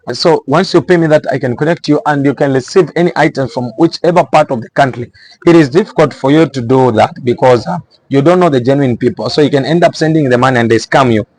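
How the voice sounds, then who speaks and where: A man from Zambia with a Zambian accent and a mid-pitched voice talks informally to his viewers. He gives practical business advice as an explainer, often repeating a phrase to drive it home. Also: fast, a little mellow, low-quality recording. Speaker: vlogger giving small business advice.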